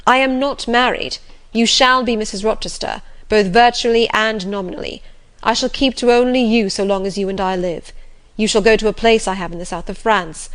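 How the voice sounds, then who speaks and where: A woman reader takes on a brooding master of the house in a classic novel. The gentleman speaks with passion and urgency. His manner is imperious and domineering. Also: mid-range voice, natural voice. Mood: insistent, imperious. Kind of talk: audiobook character reading of classic novel.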